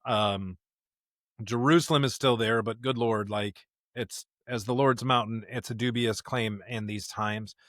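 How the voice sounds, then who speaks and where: A man's voice: medium pitch, fairly even intonation, measured pace, a bit bright, dry recording, clean studio sound. A man co-hosting a conversational podcast discussion of scripture, speaking earnestly and repeating phrases for emphasis. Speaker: podcast co-host.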